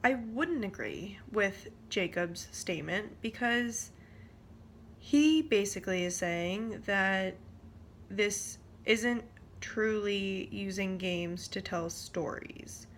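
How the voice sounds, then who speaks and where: A young woman talks casually to her vlog viewers at an unhurried pace. Her pitch sits in the middle for a woman, and she is informal and hesitant, letting thoughts trail off. There is some background noise in the recording. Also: animated. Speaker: vlogger.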